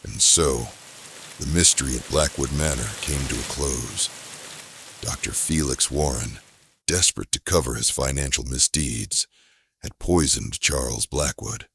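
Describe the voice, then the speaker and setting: A man's voice: extremely low pitch, measured pace, creaky, fairly bright tone, full character voice. A man playing a grave, dramatic narrator of an audio murder mystery. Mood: ominous. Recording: rain noise under the voice. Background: falling rain.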